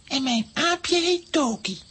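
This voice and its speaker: Hoog, ernstig, langzaam pratend jongetje. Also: female voice, high voice, expressive, slow pace, a bit bright, full character voice. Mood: wistful. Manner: simple, earnest storytelling. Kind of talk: children's audio drama acting. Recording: background noise, crickets.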